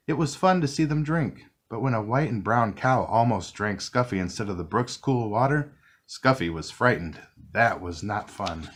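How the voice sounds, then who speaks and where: A man reading a children's picture book aloud, narrating gently and expressively. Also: lowish voice. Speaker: storybook reader. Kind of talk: children's read-along narration.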